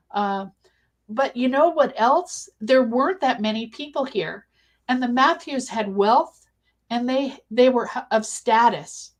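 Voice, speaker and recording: Higher-voiced woman, thoughtful and halting. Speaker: guest researcher. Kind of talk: conversational interview answers.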